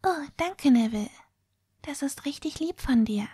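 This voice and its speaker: A woman playing a dreamy, candid teenage schoolgirl in an audio drama, speaking gently with a soft, whispery voice. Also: sits high, animated, measured pace, dry recording, studio quality. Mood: warmly touched. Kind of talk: amateur audio drama acting.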